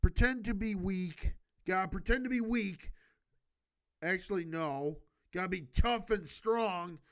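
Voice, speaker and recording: Amateur male reader, high register, slow halting delivery, self-correcting, breaks into emphatic off-the-cuff advice. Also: fairly bright tone. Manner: stumbles over words and corrects himself; emphatic, exhorting. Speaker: amateur video host reading a book aloud. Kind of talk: halting read-aloud with improvised casual commentary.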